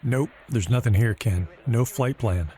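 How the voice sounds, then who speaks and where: An actor playing a low-key tower radio operator in a radio drama. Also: male voice, unhurried, mellow, husky. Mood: matter-of-fact.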